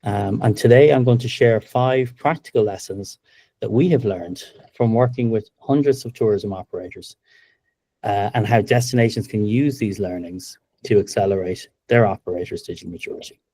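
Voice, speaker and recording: A middle-aged Irish man giving a business webinar presentation over a video call, upbeat and steady, with frequent ums. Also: on the low side, moderate pace, no echo. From Ireland. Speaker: webinar presenter, company co-founder.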